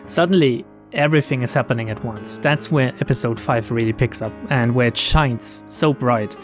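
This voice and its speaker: A man's voice: mid-range voice, moderate pace. A man reading a visual novel aloud as a streamer, theatrical, with casual asides and game music and rain behind him. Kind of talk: dramatic narration with casual commentary. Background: game music and rain sounds.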